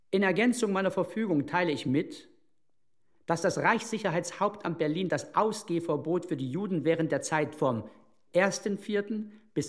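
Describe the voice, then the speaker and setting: An actor playing an officious Nazi-era state police bureaucrat, reading formal orders in a documentary radio play. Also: male voice, fairly high pitch, lightly acted.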